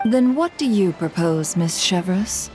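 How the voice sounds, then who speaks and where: An actress playing a cool, shrewd, self-assured guild boss in a video game, concise and businesslike. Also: female voice, on the low side, animated, measured pace, darkish tone, faint music behind. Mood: shrewd, poised. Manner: poised, concise, dryly businesslike. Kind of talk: video game character acting.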